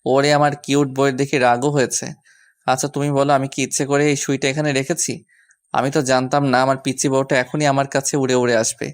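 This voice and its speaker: A man's voice: mid-range voice, level tone, fairly quick, close to an everyday voice. A man playing a doting young lover who coaxes and teases affectionately in a romantic audio story. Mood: teasing, affectionate. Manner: coaxing, affectionate, apologetic. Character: doting, teasing young man soothing his sweetheart.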